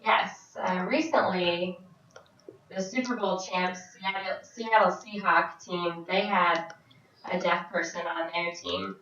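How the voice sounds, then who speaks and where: A woman's voice: on the low side, fairly even intonation, sharp tone, a little hiss or hum. Woman interpreting in an echoey room. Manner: halting, phrase by phrase, frequent restarts. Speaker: sign language interpreter voicing a Deaf vlogger. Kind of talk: live voice interpretation of a signed Q&A. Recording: very echoey, distant, dull sound.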